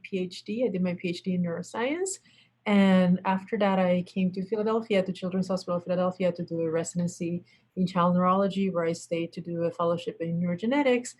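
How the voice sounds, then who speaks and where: A woman child neurologist from Puerto Rico, introducing herself as a guest with gentle humor. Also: medium pitch.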